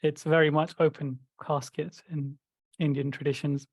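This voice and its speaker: Higher-voiced man, flat and reflective. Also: normal speed, breathy edge, no room sound. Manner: thoughtful, explanatory, with frequent fillers. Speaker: podcast co-host. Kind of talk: casual discussion.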